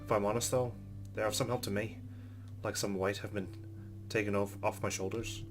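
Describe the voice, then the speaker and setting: Guy reading a visual novel out loud, talks fast, lowish voice, throws in commentary. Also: level tone, music in the background. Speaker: let's play host reading a game aloud.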